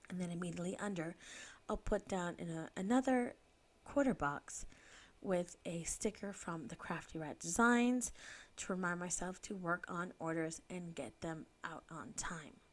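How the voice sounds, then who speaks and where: A woman's voice: fairly low pitch, moderate pace. Sniffly chatty woman. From United Kingdom. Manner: chatty, laughs often, self-deprecating. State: sniffly from a cold. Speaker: planner vlogger. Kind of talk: casual voice-over narration.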